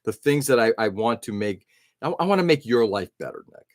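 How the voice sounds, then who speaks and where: A man's voice: mid-range voice, sing-song, a little fast, no room sound, clean studio sound. A middle-aged man hosting an early-morning live stream, rambling casually and answering viewers' chat comments.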